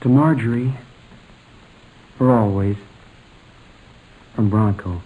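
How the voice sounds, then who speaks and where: An actor playing an earnest, polite young fiancé in an old-time radio sitcom. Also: male voice, on the low side, slow pace, narrow, tinny audio. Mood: humbly grateful. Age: young adult.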